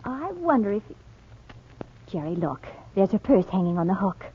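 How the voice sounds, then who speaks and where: An actress playing an inquisitive, anxious wife and amateur sleuth, eager and fretful, in 1940s radio style. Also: female voice, mid-range voice, big pitch swings, moderate pace, breathy edge. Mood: curious. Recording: tinny, muffled old radio recording.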